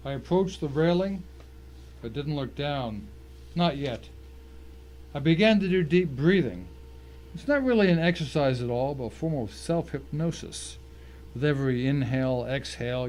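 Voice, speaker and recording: A man's voice: medium pitch, a little mellow, natural voice, low-quality recording. A man as a tense thriller narrator, faint hum. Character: tense, hard-bitten male thriller narrator telling his own ordeal. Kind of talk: dramatic first-person scripted narration.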